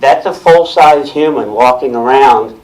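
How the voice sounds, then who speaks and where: A man giving a loud, plain-spoken talk to a club audience, often repeating and restarting words as he explains. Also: mid-range voice, unhurried, low-quality recording. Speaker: guest speaker on technology. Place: meeting room with audience. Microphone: handheld or stand mic with speaker system.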